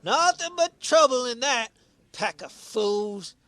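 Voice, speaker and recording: A man's voice: extremely high pitch, big pitch swings, slow pace, sharp tone, dry recording, heavily acted. An actor playing a stubborn, crotchety village old-timer in his late seventies or older in a radio play, with rural phrasing. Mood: indignant, crotchety.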